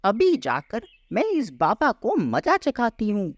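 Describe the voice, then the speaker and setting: Cartoon cow played by a woman: anxious, easily offended, dramatic, unhurried delivery, very dry close sound. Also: fairly high pitch, wildly swooping pitch, dry recording, exaggerated voice. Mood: angry. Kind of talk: children's moral story cartoon dub.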